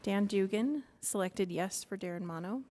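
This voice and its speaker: A soft-spoken woman reading out council vote results at a city meeting. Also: mid-range voice, moderate pace. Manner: soft-spoken, reads out votes formally. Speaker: council staff reading votes. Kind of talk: formal meeting report.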